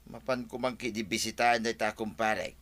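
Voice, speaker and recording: A man's voice: lowish voice, fairly even intonation, normal speed, fairly bright tone, close to an everyday voice. A man with an Ilocano accent playing a chummy, boastful village husband in a Filipino radio comedy drama. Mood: boastful, pleased.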